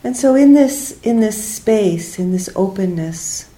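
Lady doing a guided meditation, really slow n gentle, mellow voice, lots of pauses. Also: medium pitch, lively intonation, a little hiss or hum. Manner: gentle, unhurried, pausing between short phrases. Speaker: meditation teacher.

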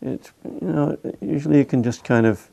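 A man's voice: on the high side, normal speed, vocal fry, warm tone, muffled recording. A man leading a meditation group's question time, easygoing and wry, with many fillers. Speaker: meditation teacher. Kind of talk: relaxed Q&A guidance. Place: meditation hall.

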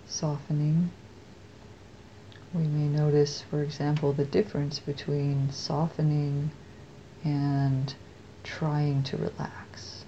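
Low, slow American woman guiding meditation. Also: lo-fi sound, a little hiss or hum. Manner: soft, unhurried delivery with long pauses. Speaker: meditation teacher. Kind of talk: guided meditation.

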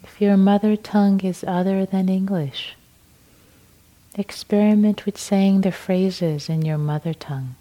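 A middle-aged woman whose first language is Farsi, leading a guided meditation in a slow, soothing way with long pauses. Her breathy voice drifts at times into a whisper. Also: medium pitch, fairly even intonation, dark tone. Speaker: meditation teacher.

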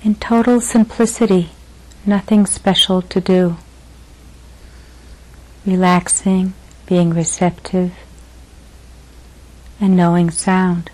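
A woman teacher leading a guided meditation gently, in short phrases with long pauses between them. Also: lowish voice, flat delivery, low-quality recording.

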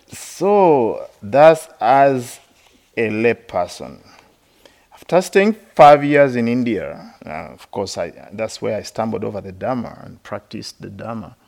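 Man from Uganda, gravelly voice, lowish pitch, halting Buddhist teaching full of fillers. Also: expressive, darkish tone. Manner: halting, many fillers, explanatory; laughs while telling stories. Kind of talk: dharma talk. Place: meditation retreat hall.

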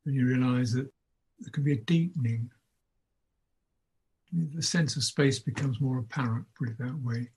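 A man leading an unscripted guided meditation as a teacher, speaking in a contemplative way with long pauses between short phrases. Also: medium pitch, a little mellow, husky, rough recording. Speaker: Buddhist monk, meditation teacher.

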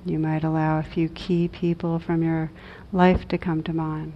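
A woman leading a guided meditation as a teacher, gentle and measured, with long pauses between reflective phrases. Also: little pitch movement. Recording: dull muffled audio, low rumbling noise.

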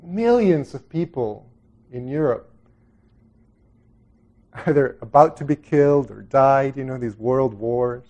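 A man's voice: mid-range voice, lively intonation, slow, long pauses, dark tone, highs cut off. A middle-aged man from El Salvador giving an informal dharma talk to a group, conversational and reflective, often trailing off into asides. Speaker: Buddhist meditation teacher.

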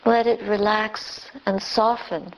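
Very slow-speaking woman guiding meditation. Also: medium pitch, flat delivery, creaky, slight background noise, poor audio. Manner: gentle, unhurried, long pauses between short phrases. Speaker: Buddhist nun, meditation teacher. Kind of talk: guided meditation.

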